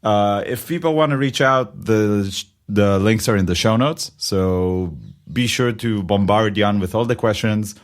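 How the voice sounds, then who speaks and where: A man with an Israeli accent co-hosts a podcast in a loose, chatty way, quick to joke at his own expense. His voice sits fairly low. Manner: chatty, joking, self-deprecating. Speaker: podcast co-host. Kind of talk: casual podcast conversation.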